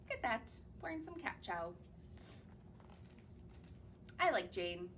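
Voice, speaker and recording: A woman's voice: a little high, sing-song, slow, fairly bright tone, slight background noise. A young woman, a children's librarian, reading picture books aloud in a library in a sing-song way and asking the children questions. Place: public library.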